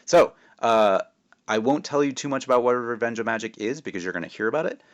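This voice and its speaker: A man's voice: medium pitch, fast talker, muffled recording, a little hiss or hum. A man who writes children's books, speaking casually and narrating his own story aloud. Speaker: children's author reading his own book.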